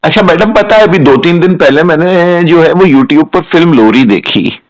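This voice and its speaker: A man interviewing a guest, hoarse from the flu, speaking in a courteous, formal and deferential way. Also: on the high side, lively intonation, normal speed. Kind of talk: polite interview.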